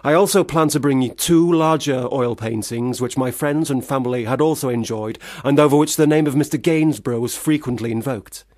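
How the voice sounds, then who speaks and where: An actor playing a troubled, self-doubting young man who drinks, theatrical, swinging between loud protest and muttering in a radio play. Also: medium pitch, fairly quick, hardly any pauses, dry recording, studio quality, lightly acted. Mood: rambling, anxious.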